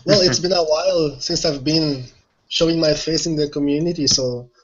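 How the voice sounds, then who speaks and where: A man from Brazil with a Brazilian Portuguese accent, a Portuguese native speaker, chatting on a group call and pausing to search for words. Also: a little high. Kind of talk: casual group chat. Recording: dull lo-fi call audio.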